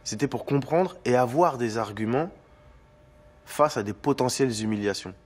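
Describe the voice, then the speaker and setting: A man speaking thoughtfully and softly in an interview. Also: medium pitch, animated, normal speed. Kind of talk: interview testimony.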